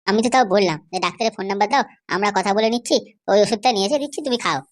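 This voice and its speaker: Woman as a brash, fast-talking cartoon kidnapper. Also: medium pitch, lightly acted. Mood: suspicious, arguing. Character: boastful, vengeful male kidnapper in a comedy cartoon. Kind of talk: amateur cartoon comedy dubbing.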